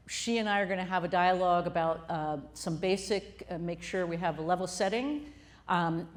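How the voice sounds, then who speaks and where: A woman with a somewhat low voice opens a health event in front of a live audience. She talks in a loose, conversational way, full of fillers. She introduces her guest in a friendly tone. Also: a little mellow. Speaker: CEO hosting a health talk.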